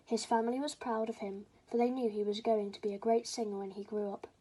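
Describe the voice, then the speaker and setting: A woman's voice: on the high side, little pitch movement, quick pace, dark tone, breathy edge, rough recording. A woman reading a children's story aloud as scripted storybook narration.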